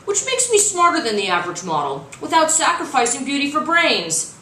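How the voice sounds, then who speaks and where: A woman's voice: high voice, wildly swooping pitch, pushed and bright, voice pushed up, full character voice. A woman voicing a smug, vain middle-aged male gym coach in a boastful storybook reading. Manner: boastful, drawn-out delivery. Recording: roomy, distant mic, faint noise.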